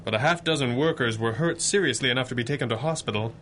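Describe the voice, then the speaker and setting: An actor playing a composed, earnest superhero boss in a 1930s radio drama, speaking formally with a measured, gently dry manner. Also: male voice, mid-range voice, slight background noise, natural voice. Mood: grave, thoughtful.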